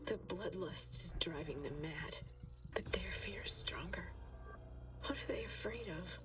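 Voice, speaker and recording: An actress playing an exhausted, haunted woman scientist confiding in a halting, intimate audio diary for a video game. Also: fairly low pitch, recorded from a distance, noisy background, close to an everyday voice. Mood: haunted, desperate.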